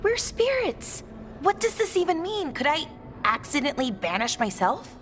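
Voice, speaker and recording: An actress playing an anxious, earnest young elf in a fantasy video game, with a questioning, unguarded manner. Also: female voice, high voice, sing-song, moderate pace, a bit bright, slight background noise. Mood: bewildered.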